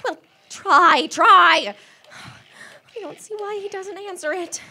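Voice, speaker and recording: A woman's voice: very high voice, slow, sharp tone, a little hiss or hum, full character voice. A woman acting a fretful, demanding, self-pitying bedridden wife who stammers nervously, in live radio-thriller theater. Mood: frantic, fretful.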